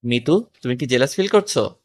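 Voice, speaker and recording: A woman playing a doting, confident young husband in a romantic audio story, with a calm, teasing manner. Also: a bass voice, a little fast. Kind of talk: scripted audio story acting with some first-person narration.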